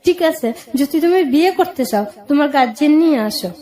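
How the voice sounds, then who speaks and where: A woman's voice: high-pitched, animated, fairly quick, mellow. A woman telling her own life story as an interview guest, plainly, quoting conversations as she goes. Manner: plain-spoken, recounting with acted-out dialogue.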